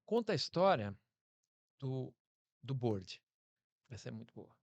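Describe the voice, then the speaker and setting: Creaky-voiced Brazilian man co-hosting a podcast. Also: medium pitch, sing-song, measured pace, darkish tone, no echo, muffled recording. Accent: Brazilian Portuguese. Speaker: podcast co-host, interviewer. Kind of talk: casual reflective interview talk.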